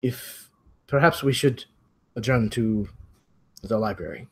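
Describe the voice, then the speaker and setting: A man's voice: on the low side, slow pace, slightly rough, exaggerated voice. A man playing a polite, formal host of a mansion in a mystery roleplay. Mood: courteous.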